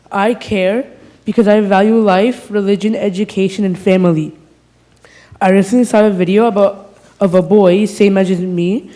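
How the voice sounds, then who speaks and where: A thirteen-year-old boy speaks in a high, mellow voice. He reads a personal statement at a meeting, earnest and slightly halting as he works through it. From small town in Iowa, USA. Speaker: student speaker at a public meeting. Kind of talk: prepared personal speech. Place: school board meeting room.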